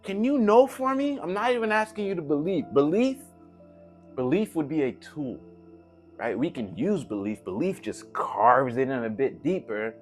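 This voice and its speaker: A man's voice: a little high, sing-song, normal speed, faint music behind. A man giving casual motivational talk as a spiritual coach, slangy and emphatic, repeating phrases for effect. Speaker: spiritual coach and channel host.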